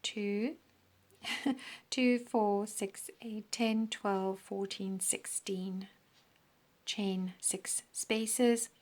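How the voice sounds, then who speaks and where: A woman teaching a crochet pattern step by step, counting stitches aloud, her voice croaky and often dropping into a breathy half-whisper. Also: medium pitch, steady tone, drawn-out words, a little mellow. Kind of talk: step-by-step craft tutorial.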